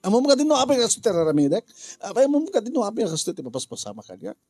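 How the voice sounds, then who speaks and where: Man acting an agitated, shrill drunken Ilocano husband. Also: very animated, rapid-fire, warm tone, no room sound, clean studio sound, faint music behind. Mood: irritated. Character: drunken, quarrelsome husband in a domestic drama. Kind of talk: Ilocano radio drama acting.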